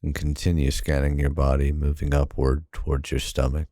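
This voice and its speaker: A man narrating a guided sleep hypnosis in a calm, soothing, unhurried manner with long pauses. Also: a bass voice, dry recording, studio quality.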